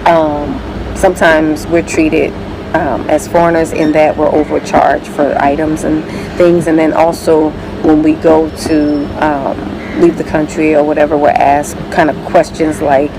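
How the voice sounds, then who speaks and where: An American woman talking in a casual vlog, earnest and halting, with many fillers and restarts. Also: sits low, flat delivery, background noise. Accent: American English. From United States.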